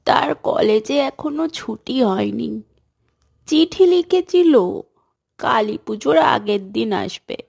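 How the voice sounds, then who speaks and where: A woman voicing a caring village mother and a lonely girl in a cartoon, speaking slowly and softly. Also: fairly high pitch, expressive, vocal fry, slightly rough, heavily acted. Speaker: voice actor. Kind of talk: cartoon voice acting.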